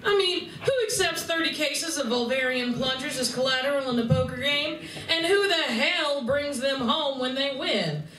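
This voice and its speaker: A woman's voice: sits high, moderate pace, few pauses, bright, forceful tone. A woman playing a cynical, matter-of-fact alien con artist with a casually teasing manner in a comedy radio play. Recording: roomy, distant convention recording, faint noise.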